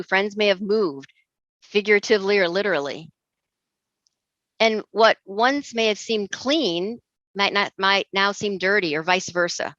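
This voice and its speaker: A middle-aged woman from Egypt giving a measured, earnest recorded presentation as an intercultural trainer. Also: medium pitch, a bit bright, no echo. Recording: dull dry lo-fi recording.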